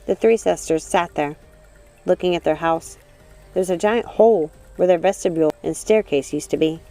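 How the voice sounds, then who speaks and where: A woman narrates a dramatic storybook with suspense and expression. Her voice is bright, and faint music plays behind the reading. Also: fairly low pitch, normal speed. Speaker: audiobook narrator.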